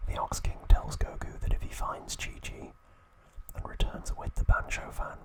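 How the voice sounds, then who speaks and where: Whispering man, soft ASMR narration. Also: normal speed. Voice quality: breathy, unvoiced whisper. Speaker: ASMR host.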